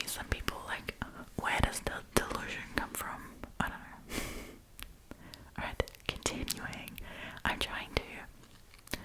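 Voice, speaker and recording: A woman speaking in a breathy, unvoiced whisper as an ASMR host, reading stories and commenting in a chatty, informal, opinionated way. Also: low-quality recording.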